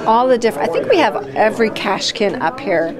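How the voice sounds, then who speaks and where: A woman's voice: mid-range voice, very animated, normal speed, over loud music. Middle-aged woman giving a casual shop tour. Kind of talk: casual interview, explaining products. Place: small shop room, indoors. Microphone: handheld recorder with windscreen held by interviewer.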